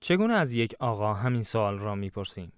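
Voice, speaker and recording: A man narrating a language lesson, giving clear, instructive prompts in a scripted style. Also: on the low side, sing-song, racing, no room sound, studio quality.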